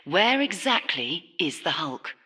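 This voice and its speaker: A woman's voice: on the low side, very animated, takes it slowly, bright, forceful tone, breathy edge, heavily acted. A woman voicing a serious, urgent TV news reporter in a video game, with a polished, emphatic broadcast delivery.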